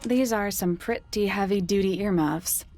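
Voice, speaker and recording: A woman's voice: mid-range voice, normal speed, darkish tone, natural voice. An actress playing a dry, skeptical detective in a video game, with music behind her. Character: skeptical, dry female detective partner questioning theories. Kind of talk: video game voice acting, dialogue. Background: background music.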